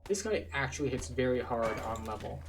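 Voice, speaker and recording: A man's voice: medium pitch, brisk, background noise. A man giving casual, explanatory commentary as he guides a video game playthrough. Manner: relaxed, explanatory. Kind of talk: casual let's-play commentary.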